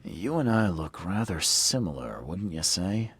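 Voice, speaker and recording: Very deep, gravelly hard-boiled private eye. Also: male voice, big pitch swings, a little slow, fairly bright tone, exaggerated voice. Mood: stern, probing. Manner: terse, dry, world-weary. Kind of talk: video game cutscene acting.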